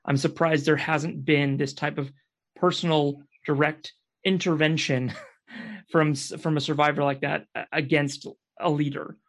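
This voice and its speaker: A man speaking earnestly as a guest in a panel discussion, pausing to search for words. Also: fairly high pitch, level tone. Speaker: podcast guest.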